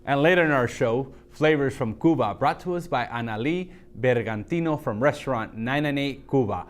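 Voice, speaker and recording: A man's voice: medium pitch, animated, normal speed. A man hosting a TV interview, friendly and hesitant with many fillers. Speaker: TV host.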